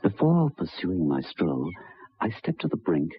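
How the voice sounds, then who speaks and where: An actor playing a troubled, earnest gentleman who recounts a ghostly tale in formal, deliberate, halting old-time radio style, with a husky voice. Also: sits low, wildly swooping pitch, noisy background, heavily acted. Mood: calm, reflective. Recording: dull vintage radio recording.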